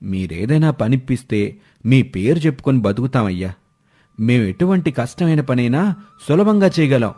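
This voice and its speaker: A man's voice: mid-range voice, very animated, normal speed, warm tone. A man voicing a humble, earnest young forest villager who speaks respectfully in a cartoon moral story. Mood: humble pleading. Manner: respectful, pleading.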